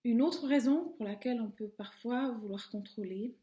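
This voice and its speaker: A middle-aged woman talking calmly and reflectively to camera, her phrases often trailing off softly. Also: on the high side, moderate pace. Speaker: video host. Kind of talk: personal reflective monologue. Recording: echoey room.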